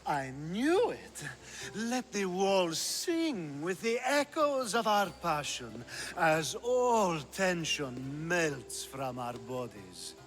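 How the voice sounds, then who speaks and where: An actor playing a flamboyant, playful hedonist in a video game, languid and flirtatious in delivery. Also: male voice, sits high, big pitch swings, takes it slowly, a bit bright, full character voice. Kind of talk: theatrical video game acting. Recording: long hall reverb, music behind.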